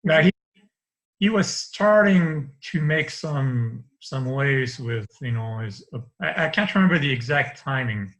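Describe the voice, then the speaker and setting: Man, 50s, light French accent, bright edgy tone, loose rambling video-call guest. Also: mid-range voice, expressive, unhurried, rough recording. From France. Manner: rambling, restarts often, light laughs. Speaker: interview guest. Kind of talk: casual conversation over video call. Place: home study with bookshelves. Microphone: video call webcam.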